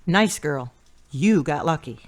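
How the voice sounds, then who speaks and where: Woman playing tired big sister in an audio drama, dry teasing, kinda low voice. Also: lively intonation, takes it slowly. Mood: dry, weary. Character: weary, dryly teasing big sister caregiver.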